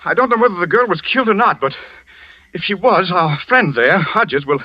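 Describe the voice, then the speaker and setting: A man playing a smooth, sly gossip columnist in a vintage radio drama, with an insinuating manner. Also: high voice, lively intonation, a little fast. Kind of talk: 1940s radio acting. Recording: dry, lo-fi vintage radio recording.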